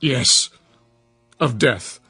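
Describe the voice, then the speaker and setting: The elderly doubter in a suspense radio play, a frightened man of science insistently challenging an impossible story over soft music. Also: medium pitch, drawn-out words, creaky, breathy edge, no room sound, full character voice. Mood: frightened, defiant. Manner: incredulous, insistent. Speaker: actor in a radio drama. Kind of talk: radio drama acting.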